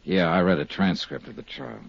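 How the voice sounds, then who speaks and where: An actor playing a tough, persistent insurance investigator in a 1950s radio drama, with clipped, probing delivery. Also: male voice, low-pitched, animated, a little fast, gravelly, slightly rough, exaggerated voice. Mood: businesslike, probing. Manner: clipped, probing, hard-boiled questioning. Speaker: lead actor in a radio detective serial. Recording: muffled 1950s radio recording.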